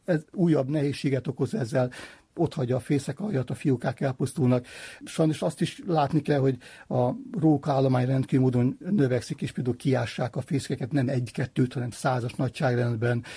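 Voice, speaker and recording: Husky man, muted and dry-sounding. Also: medium pitch, dark tone, dry recording. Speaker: bird conservationist and researcher, interviewee. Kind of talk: unscripted interview about field work.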